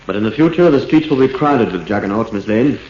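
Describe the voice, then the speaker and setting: A man's voice: lowish voice, expressive, fast, darkish tone, natural voice. A man acting an idealistic inventor in a 1940s radio drama, with an Australian accent. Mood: eager, proud. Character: idealistic, fervent male inventor devoted to his machine. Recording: dull, echoey, noisy old radio recording.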